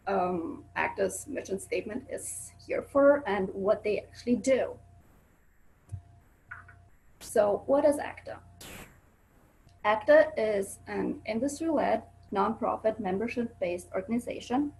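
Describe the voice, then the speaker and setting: A woman's voice: medium pitch, darkish tone, low-quality recording. A young woman from Switzerland with an accent, earnestly giving a student webinar presentation over a video call, with frequent ums. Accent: Swiss.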